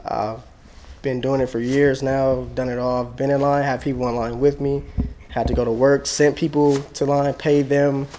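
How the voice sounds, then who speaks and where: Guy talking pretty flat and matter-of-fact, bit of hiss in the recording. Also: medium pitch, moderate pace. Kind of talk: casual documentary interview.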